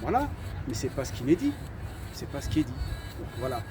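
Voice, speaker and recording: A man's voice: mid-range voice, very animated, brisk, background noise. A French man of Algerian heritage giving his opinions in casual talk, hesitant, repeating words and often saying "voilà". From Algerian heritage, raised in France. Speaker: channel host giving opinions.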